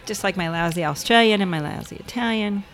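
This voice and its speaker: A woman in her fifties co-hosts a relaxed chat, teasing her husband playfully. Her voice sits at a middle pitch, with faint music playing quietly under it. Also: brisk. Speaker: podcast co-host. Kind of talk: casual married-couple chat.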